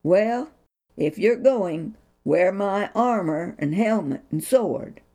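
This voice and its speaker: A woman's voice: mid-range voice, expressive, slow pace, warm tone. A woman voicing a worried, cautious king in a storybook reading, speaking in a warning tone. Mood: resigned.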